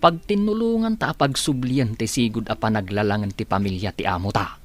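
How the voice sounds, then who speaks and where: A man's voice: fairly low pitch, a little fast, natural voice. A man playing a deferential, kindly and scheming household servant in an Ilocano radio comedy-drama. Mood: earnest, persuasive.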